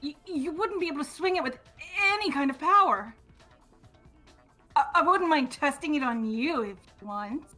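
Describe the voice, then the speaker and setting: A woman's voice: high-pitched, expressive, normal speed, pushed and bright, soft background music. A woman voicing a stammering, haughty, venomous young woman with an obsessive devotion to her master, in a fan-voiced game. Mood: disdainful. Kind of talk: fan-voiced visual novel game acting.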